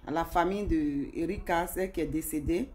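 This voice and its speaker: A woman's voice: low voice, brisk, darkish tone. A woman giving informal video commentary, speaking emphatically, repeating herself and addressing her viewers directly. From Africa. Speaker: social media commentator.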